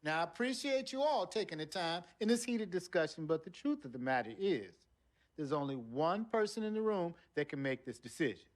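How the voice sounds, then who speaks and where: A man playing a middle-aged, plain-spoken father in a game cutscene, folksy, deliberate and authoritative. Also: on the high side, sing-song. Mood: firm, measured. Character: steady, principled, plain-spoken family father. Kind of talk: naturalistic dramatic acting in a game cutscene.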